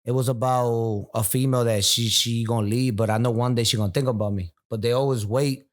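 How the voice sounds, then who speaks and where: A man's voice: medium pitch, fairly even intonation, brisk. Laid-back young man. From Puerto Rico, raised in Philadelphia. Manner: laid-back street slang. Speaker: guest rapper. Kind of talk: casual chat. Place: bar.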